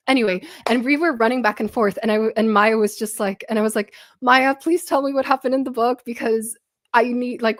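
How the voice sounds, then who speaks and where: A young woman from Poland, a native Polish speaker with an international English accent, hosting a casual book livestream and rambling through viewer questions. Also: mid-range voice. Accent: British-influenced international English, Polish speaker. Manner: chatty, rambling, many ums and likes. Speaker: book channel host.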